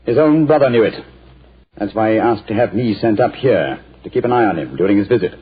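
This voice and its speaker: Brisk detective, lively up-and-down voice, husky old radio sound. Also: male voice, fairly low pitch. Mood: stern. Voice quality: crisp, clipped and authoritative. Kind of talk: 1940s radio acting. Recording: muffled old 1940s radio broadcast.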